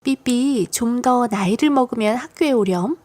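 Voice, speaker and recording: A woman's voice: on the high side, moderate pace, a little mellow, dry recording, natural voice. A woman voicing a patient but exasperated female schoolteacher in a children's storybook, instructive and coaxing. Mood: softened. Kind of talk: children's storybook audiobook acting.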